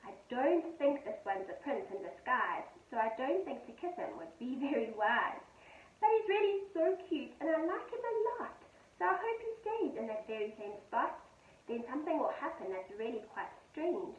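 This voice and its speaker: A woman reading children's poems aloud as a storytime teacher, with an expressive, sing-song delivery for young listeners. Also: sits high, normal speed. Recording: roomy, distant, dull-sounding microphone.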